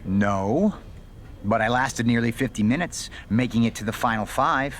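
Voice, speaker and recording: A man acts out a confident veteran wrestler in a video game story scene. He has a medium-pitched voice and talks like a scheming ally, persuasive and conspiratorial. There is clear noise behind the voice. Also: moderate pace, lightly acted. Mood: urging, conspiratorial. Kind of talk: video game cutscene acting.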